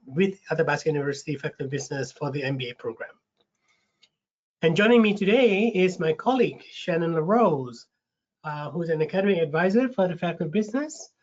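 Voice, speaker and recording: A man with a slight rasp from a cold hosts an online info session, friendly, with lots of ums and restarts. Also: fairly high pitch. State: getting over a cold, a bit raspy. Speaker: webinar host, recruitment manager. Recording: dull lo-fi webinar audio.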